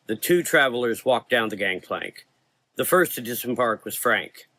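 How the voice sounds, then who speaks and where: Bright, forceful man narrating gothic horror. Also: mid-range voice, lively intonation, normal speed, dry recording. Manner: dramatic, solemn.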